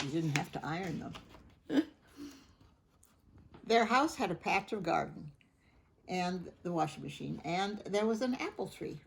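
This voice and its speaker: A woman reading a picture book aloud to children, gently chatting and stopping to ask the listener questions. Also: fairly low pitch, husky. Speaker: storybook reader.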